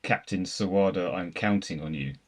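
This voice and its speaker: A man's voice: deep voice, moderate pace, husky. A man chatting casually as a panelist on a film discussion, rambling through anecdotes. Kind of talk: casual chat.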